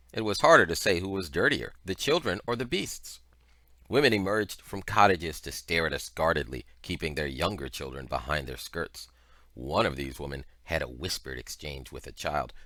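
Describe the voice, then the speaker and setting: A man reading a story aloud as scripted narration, with expressive, deliberate phrasing. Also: low voice, no room sound. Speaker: audiobook reader.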